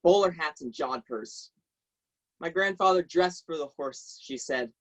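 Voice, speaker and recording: A young man playing a troubled, impassioned teenage boy in a halting, emphatic theatrical monologue. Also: on the high side, close to an everyday voice. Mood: brooding. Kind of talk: theatrical monologue, staged reading. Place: remote video call.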